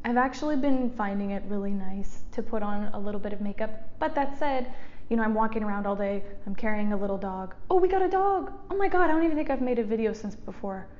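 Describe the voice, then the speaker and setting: A young woman chatting to her viewers while getting ready, rambling and laughing often, with a soft, resonant voice. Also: fairly high pitch, highs cut off. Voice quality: soft resonant speaking voice after speech therapy. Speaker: YouTuber. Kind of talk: chatty get-ready-with-me vlog. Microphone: desk mic in front of her.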